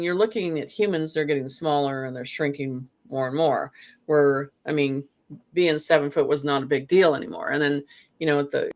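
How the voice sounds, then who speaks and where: A guest in a spiritual conversation, talking in a meandering way with frequent "you know" fillers. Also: medium pitch.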